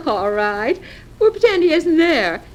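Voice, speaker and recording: A woman's voice: high-pitched, big pitch swings, slow, exaggerated voice. An actress playing a trusting, girlish young heiress in a melodramatic old-time radio style. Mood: cheerful. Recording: noisy vintage radio drama recording.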